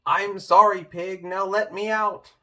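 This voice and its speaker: A man voicing a gruff, pleading big bad wolf in a playful, drawn-out way for a children's storybook. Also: sits high, fairly even intonation, bright, forceful tone, voice pushed up. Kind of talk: children's storybook read-aloud.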